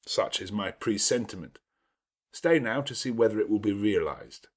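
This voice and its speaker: Man acting a commanding, brooding English gentleman, slightly husky. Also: lowish voice, animated, normal speed. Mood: bitter, sardonic. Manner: grandiloquent, sardonic, emphatic. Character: brooding, domineering, teasing middle-aged English gentleman. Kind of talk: dramatized classic novel audiobook reading.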